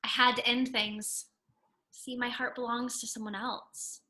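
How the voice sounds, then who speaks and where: A woman's voice: a little high, level tone, measured pace, sharp tone. A woman playing a lovesick, theatrical young art student in a comedy table read. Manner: theatrical, indignant, overdramatic. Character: melodramatic, lovesick young art student, self-styled damsel in distress.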